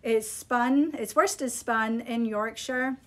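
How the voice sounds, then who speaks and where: A middle-aged woman with a slightly high voice hosts a casual live product showcase. She talks in a chatty, unscripted, appreciative way, speaking into a phone in a small room. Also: takes it slowly, fairly bright tone. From Scotland. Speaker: yarn shop host presenting products. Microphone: phone at arm's length.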